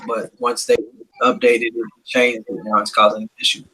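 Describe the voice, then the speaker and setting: A man's voice: mid-range voice, very animated, moderate pace, gravelly, background noise. A man on an open mic talking someone through a phone problem, muttering down a checklist.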